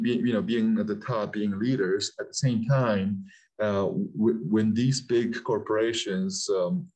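A man in his forties from Peru, an aerospace CEO talking as a guest over a video call, halting with many fillers and restarts, then bursting into animated emphasis. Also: on the low side, low-quality recording. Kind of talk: casual interview talk.

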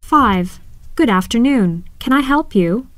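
A woman reads practice dialogues for English learners at an unhurried pace. Her pitch sits in the middle range, and each letter comes out clean. The recording is dry and close. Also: expressive, a bit bright, no room sound. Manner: slow, clear, careful enunciation. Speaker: voice actor in listening exercise. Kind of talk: scripted English listening dialogue.

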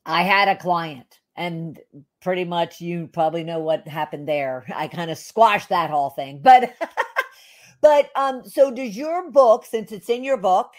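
A woman hosting a podcast interview, giving quick affirmations and asking long, halting questions. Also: lowish voice. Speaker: podcast host.

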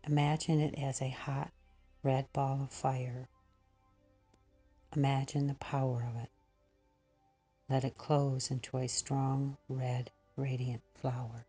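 A woman leading a slow guided meditation in a breathy, husky voice that often nears a whisper, pausing between short phrases. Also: very deep voice, level tone, dark tone, soft background music.

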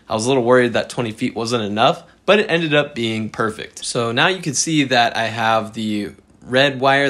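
Laid-back man narrating a DIY build. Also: mid-range voice. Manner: relaxed, chatty, self-deprecating with fillers. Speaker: DIY car channel host. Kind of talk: casual how-to voice-over.

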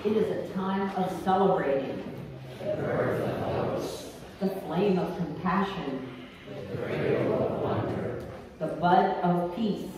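A woman in her sixties, a pastor, giving church announcements and leading a call to worship in a homely, unhurried way. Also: on the low side. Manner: homely, unhurried, with frequent ums. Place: church sanctuary. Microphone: pulpit mic from a far camera. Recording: echoey church from far off, noisy.

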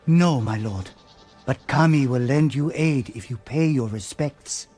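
An actor playing a respectful, devout villager giving guidance in a dramatic video game. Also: male voice, medium pitch, measured pace, a little mellow, husky, soft background music. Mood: reverent.

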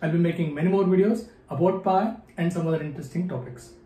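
A man's voice: on the high side, fast, mellow. A man in his thirties with an Indian English accent, speaking to camera as a channel host and reciting number words one at a time. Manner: counts number words one at a time, with tones that rise and fall. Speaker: memory athlete, channel host. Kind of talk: to-camera video with a slow, deliberate recitation. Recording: echoey room.

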